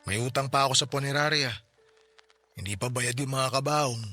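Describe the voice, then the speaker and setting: A man's voice: mid-range voice, pushed and bright, faint music behind, close to an everyday voice. A Filipino man voicing a poor widower who has just lost his family, weary and bitter in a radio drama. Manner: weary, bitter, speaks slowly when stressing words. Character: grieving poor widower, tricycle driver, bitter about justice. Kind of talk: Tagalog radio drama acting.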